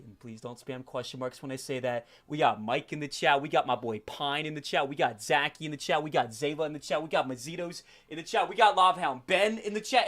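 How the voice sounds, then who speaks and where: A young man with a stuffy, sniffly voice, hosting a casual live stream and greeting viewers in rapid-fire hype. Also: high-pitched, a bit bright. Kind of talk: casual livestream chat.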